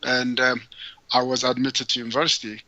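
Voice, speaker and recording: A man from Ethiopia, a guest answering interview questions, speaking haltingly with many fillers and restarts. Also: medium pitch, little pitch movement, sharp tone, dry recording, muffled recording. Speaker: guest entrepreneur.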